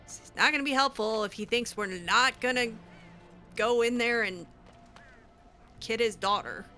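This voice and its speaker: A woman narrating her roleplay playthrough in a bright, slightly high voice. She talks slowly and casually, musing aloud, with faint music behind her. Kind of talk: casual in-character game commentary.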